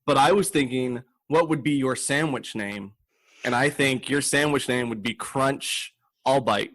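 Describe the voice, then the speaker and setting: A man hosting a casual comedic podcast, chatting in a joking, animated and profane way. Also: mid-range voice, unhurried, poor audio. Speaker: podcast host.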